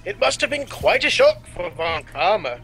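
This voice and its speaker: A pompous, fussy old lawyer, as a young man puts him on for a game dub. He speaks formally and a little grandly, in a raised, bright and forceful voice. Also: high voice, big pitch swings, moderate pace, creaky, soft background music, voice pushed up, full character voice. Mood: musing.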